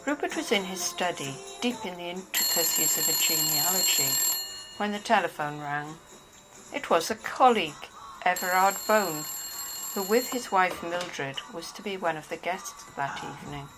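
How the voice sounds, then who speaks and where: A woman's voice: on the low side, lively intonation, moderate pace, loud music behind the voice, poor audio. A woman narrator reading scripted narration from a dramatized novel.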